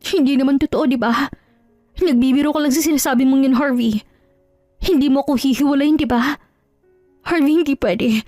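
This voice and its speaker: Lady doing a pleading accused wife in an audio drama, high voice, melodramatic, music underneath. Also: moderate pace, pauses often, a little mellow, no echo, close to an everyday voice. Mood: desperate begging. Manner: pleading, urgent, insistent. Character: desperate, pleading young wife wrongly accused of cheating.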